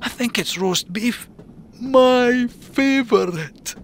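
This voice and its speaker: Ian, a man doing a squeaky greedy raccoon. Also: takes it slowly, slight background noise, heavily acted. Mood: delighted. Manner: high whiny eager voice. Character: greedy excitable young male raccoon.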